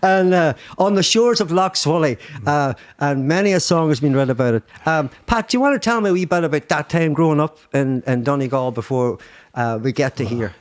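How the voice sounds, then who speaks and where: A man's voice: fairly high pitch, lively intonation, a little fast, no room sound, studio quality. Chatty Northern Irish man hosting. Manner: chatty, laughing, many fillers. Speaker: podcast host. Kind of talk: casual chat.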